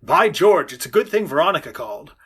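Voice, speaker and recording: Bright-voiced, flustered radio sitcom dad, played by a man. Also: on the high side, very animated, brisk, slight background noise. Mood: flustered, exasperated. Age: middle-aged. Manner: stammering, harried. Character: flustered, henpecked suburban father, easily exasperated. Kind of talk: 1940s radio sitcom acting.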